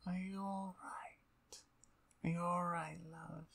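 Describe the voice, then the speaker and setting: A woman voicing a dominant, possessive female vampire in a soft, intimate ASMR roleplay, slow and breathy with whispers. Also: lowish voice, flat delivery, exaggerated voice. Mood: tender, protective. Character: dominant, possessive, playful female vampire, tender toward her pet.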